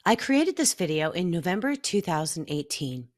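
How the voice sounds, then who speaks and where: American woman, lower voice, middle-aged, scripted narration, close dry recording. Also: big pitch swings, moderate pace, no room sound. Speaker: narrator, former radio broadcaster.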